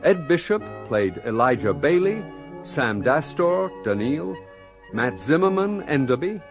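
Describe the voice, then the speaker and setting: A man's voice: a little high, sing-song, measured pace, over music. A man reading closing credits for a radio drama as a scripted announcer.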